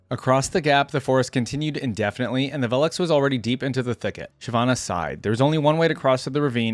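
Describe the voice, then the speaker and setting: A man's voice: fairly low pitch, no room sound. A man reading fantasy lore stories aloud and adding casual reaction commentary as he thinks aloud. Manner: casual, thinks aloud between read passages. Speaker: host of a fan reaction video.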